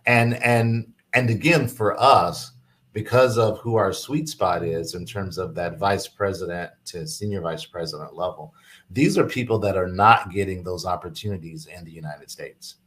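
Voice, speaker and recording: A man speaking as an interview guest, explaining business matters in a conversational pitch. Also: moderate pace, fairly bright tone.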